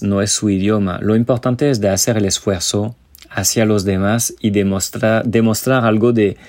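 A man's voice: lowish voice, darkish tone. A man from France, a native French speaker with a French accent, giving informal advice straight to listeners as a language teacher and podcast host. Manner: informal, explanatory, addresses listeners directly.

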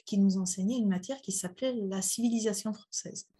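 Husky-voiced middle-aged woman, hesitant. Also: medium pitch, brisk, dark tone. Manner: thoughtful, hesitant, many fillers. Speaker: interviewee, mother. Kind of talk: casual interview.

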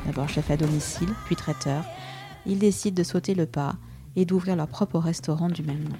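Low-voiced lady hosting a food podcast, curious, easy questions, music playing under her. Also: steady tone, brisk. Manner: warm, curious questioning with fillers. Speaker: podcast host. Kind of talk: scripted intro then casual interview.

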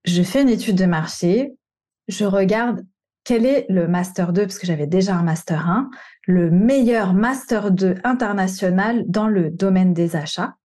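A woman's voice: medium pitch, a little slow, warm tone. A woman in her thirties from the Paris region, a coach telling her own career story in a candid, conversational way with some slang. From Paris region, France. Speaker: coach and podcast host. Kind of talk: personal storytelling monologue.

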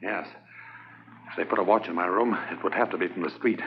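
An actor playing a calm, confident undercover policeman in a 1950s radio drama. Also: sits low, a little fast. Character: undercover Canadian policeman posing as smuggler. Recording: dull old radio transcription, faint hiss.

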